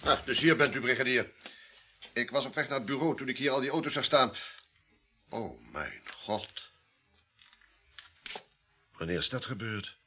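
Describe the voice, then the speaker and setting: An actor playing a brisk, authoritative police inspector in a radio drama, formal and clipped in manner. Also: male voice, medium pitch, sharp tone, a little hiss or hum, lightly acted. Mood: urgent.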